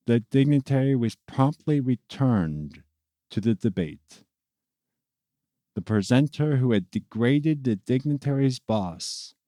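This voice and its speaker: Male story narrator: relaxed, dark-toned delivery, slow and deliberate, with theatrical phrasing for scripted tales. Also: medium pitch, dry recording, clean studio sound.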